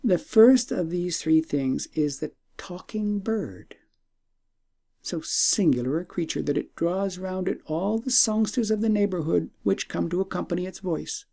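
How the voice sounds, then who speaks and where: A man voicing a devout, knowing old woman in a storybook, speaking with courteous, deliberate formality. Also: high-pitched, creaky, dark tone, exaggerated voice. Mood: courteous, marvelling. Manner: formal, deliberate, ornate speech.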